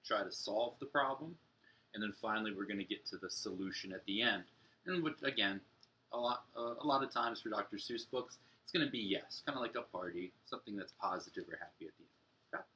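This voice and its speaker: A man's voice: on the low side, animated, fairly quick, bright, forceful tone, slightly rough, rough recording. A young man explaining story structure to young children as a teacher, in a read-aloud lesson. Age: young adult.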